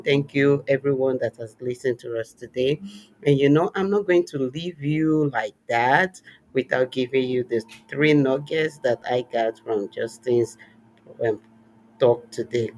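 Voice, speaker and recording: A man's voice: medium pitch, darkish tone, a little hiss or hum, low-quality recording. A man hosting a faith-based talk show, speaking earnestly and encouragingly with a preacher-like cadence. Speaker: podcast host; worship leader. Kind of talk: warm closing remarks and personal story.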